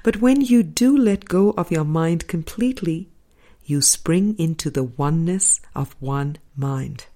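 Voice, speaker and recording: A woman reading a spiritual audiobook as scripted narration, in deliberate, measured phrases with pauses between them. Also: deep voice, dark tone. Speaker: audiobook reader.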